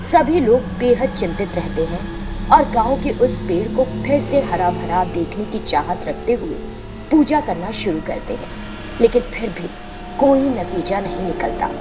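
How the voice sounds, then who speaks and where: A woman's voice: medium pitch, lively intonation, measured pace, over music. A woman narrating a scripted moral tale as the storyteller.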